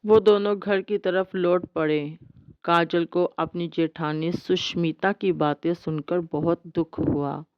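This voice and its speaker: A woman's voice: lowish voice, steady tone, slow, clean tone, lo-fi sound. A woman reading scripted narration for a bedtime cartoon story.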